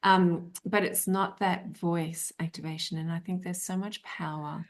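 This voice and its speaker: Middle-aged woman host, low-pitched, casual rambling talker. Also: steady tone, measured pace. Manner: chatty, rambling with many fillers. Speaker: podcast host. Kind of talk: casual interview chat.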